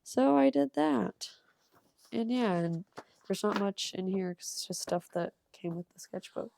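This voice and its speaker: A young woman with a cold and a slightly hoarse voice, rambling casually with ums and soft laughs as she shows her art. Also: mid-range voice, animated, unhurried, slight background noise. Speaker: art channel creator. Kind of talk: casual sketchbook tour voice-over.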